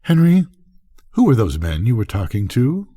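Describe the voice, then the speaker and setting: A man's voice: mid-range voice, wildly swooping pitch, moderate pace, dark tone, breathy edge, voice pushed up. An older man voicing a proud, slightly smug farm wife in a storybook, with an emphatic, lilting delivery. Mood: curious. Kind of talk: bedtime storybook character voice.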